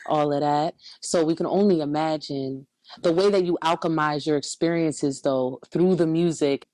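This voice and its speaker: A woman's voice: low voice, normal speed, mellow. A young woman from Camden, New Jersey hosting a seated interview, reflecting back and affirming her guest's story in a small indoor studio. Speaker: interview host.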